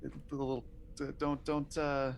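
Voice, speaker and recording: A man's voice: mid-range voice, flat delivery, a little slow, a little hiss or hum. Man improvising at a roleplay table, thinking aloud. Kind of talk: improvised in-character roleplay with casual narration.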